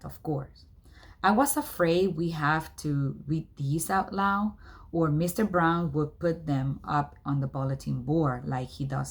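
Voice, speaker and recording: A woman's voice: low-pitched, a little mellow. A woman reading a storybook aloud as narration. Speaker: read-aloud reader.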